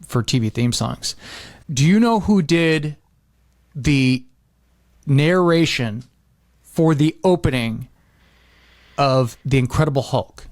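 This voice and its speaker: A man chatting casually on a podcast in an excitable, emphatic way. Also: medium pitch, slow pace, long pauses, dry recording, clean studio sound. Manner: excitable, emphatic, repeats phrases.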